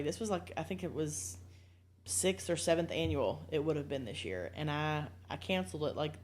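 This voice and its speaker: A woman's voice: low voice, a little hiss or hum. A woman in her forties talking candidly and casually as a podcast guest, often poking fun at herself. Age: 40s. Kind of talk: casual chat.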